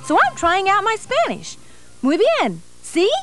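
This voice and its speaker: Chirpy canadian crayon girl, bubbly, high and bouncy, played by a woman. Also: wildly swooping pitch, unhurried, fairly bright tone, dry recording, soft background music, exaggerated voice. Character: cheerful female Canadian crayon, sporty.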